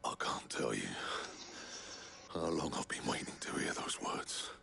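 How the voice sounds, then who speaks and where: An actor playing a gruff, impatient male blacksmith who talks curtly. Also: a bass voice, lively intonation, unhurried, bright, forceful tone, a little hiss or hum, full character voice. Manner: curt, brusque.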